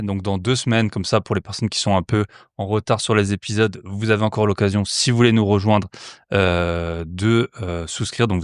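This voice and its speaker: French man with a low voice, hesitant. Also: dry recording, studio quality. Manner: hesitant, many "euh" fillers. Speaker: podcast host. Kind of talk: podcast ad read and interview intro.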